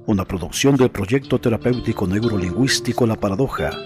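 A man's voice: lowish voice, fast talker. A man reading a scripted radio programme introduction as its announcer. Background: plucked guitar music.

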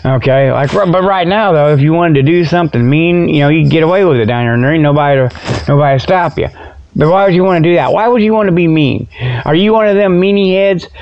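A man's voice: fairly high pitch, fast talker, highs cut off. An American man hosting a solo radio show, rambling in a folksy, joking way with stammered repetitions. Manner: folksy, jokey, stammering repetitions, chuckles at own jokes. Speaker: internet radio show host. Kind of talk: rambling solo comic monologue, mock product pitches.

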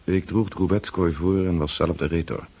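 An actor playing a brooding, tormented count who narrates his inner doubts in a radio drama, sombre and measured. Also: deep voice, steady tone, gravelly, mellow, slightly rough, full character voice. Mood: brooding, bitter.

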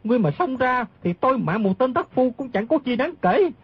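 An actor playing an excitable, anxious old martial-arts man with an agitated, emphatic delivery in a radio drama. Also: very high voice, fairly quick, slightly rough, dull audio, exaggerated voice. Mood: alarmed. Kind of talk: dramatised audiobook reading.

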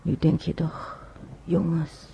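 Reminiscing radio drama son: intimate, halting, deep fry and gravel, clear background noise. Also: male voice, monotone, heavily acted. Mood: wry, musing. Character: wistful grown man recalling his wartime childhood. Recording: dull, noisy old radio recording.

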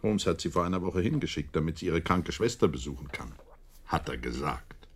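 An actor playing a sceptical, slightly exasperated Victorian doctor in a German radio play, husky and deliberate in his dry delivery. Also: deep voice, lively intonation. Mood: concerned, sceptical. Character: Holmes's loyal doctor friend, Victorian, sceptical, a little exasperated.

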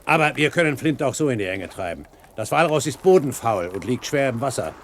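An actor playing a determined pirate captain giving orders in a radio play, commanding and articulate. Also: male voice, mid-range voice, very animated, fairly quick, slight background noise, close to an everyday voice. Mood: resolute. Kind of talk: German radio play acting.

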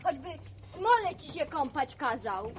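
A woman playing a lively young village woman in a radio drama, chatty and teasing with a wistful streak. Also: high-pitched, normal speed, a little hiss or hum. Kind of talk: Polish radio serial acting.

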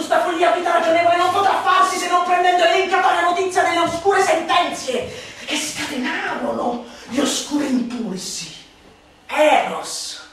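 A woman playing a sardonic, scornful satirical orator in a theatrical radio monologue, declamatory and emphatic, moving between hushed asides and loud outbursts. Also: very high voice. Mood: emphatic, haranguing. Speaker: actress performing a literary monologue. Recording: reverberant room, distant voice, faint noise.

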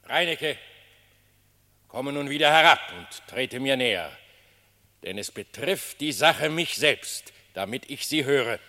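Slow, piercing animal king in echoing hall. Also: medium pitch, lively intonation, bright, forceful tone. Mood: commanding. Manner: imperious, declamatory. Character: commanding male animal king, stern and majestic. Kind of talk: classic German verse radio drama acting. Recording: long hall reverb.

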